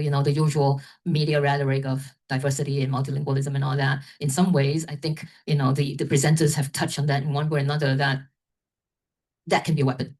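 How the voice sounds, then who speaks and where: Low-voiced woman running a q&a, rambly, keeps saying right?. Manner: rambling, tags remarks with "right?". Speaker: event organizer and moderator. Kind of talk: moderating an academic Q&A.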